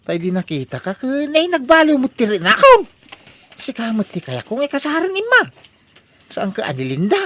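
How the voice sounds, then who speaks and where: A man's voice: very high voice, huge pitch swings, moderate pace, bright, forceful tone, heavily acted. Man playing fickle young Ilocano groom, wildly swooping voice. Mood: wheedling. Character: fickle, pleading, brazen young bridegroom. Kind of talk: comedy radio drama acting.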